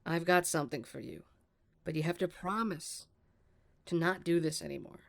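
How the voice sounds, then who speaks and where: A woman's voice: fairly low pitch, normal speed, creaky. A woman playing a strict, exasperated, protective barmaid mother in a pirate story table read, scolding and emphatic. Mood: reluctant, firm.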